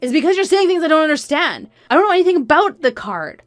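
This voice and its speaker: A woman's voice: sits high, big pitch swings, fast, no echo, soft background music, voice pushed up. A woman voicing a flustered, evasive adult husband with a hesitant, pleading manner in a narrated story. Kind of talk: acted dialogue in a narrated story.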